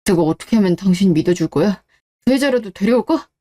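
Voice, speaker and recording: A woman's voice: mid-range voice, expressive, quick pace, dark tone, close to an everyday voice. A woman voicing a defensive, desperate disgraced husband, pleading and justifying himself in radio-drama dialogue. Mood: pleading, apologetic.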